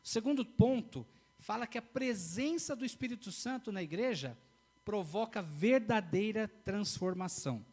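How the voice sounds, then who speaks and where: High-voiced preacher. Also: male voice, expressive, moderate pace, a little mellow. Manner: earnest, repeats key phrases, restarts mid-sentence. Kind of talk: sermon. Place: church.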